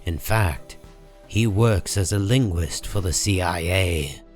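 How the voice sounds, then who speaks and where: A man narrates a comic book story in a deep voice. His delivery is slow, solemn and measured, with soft music underneath. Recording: music behind the voice.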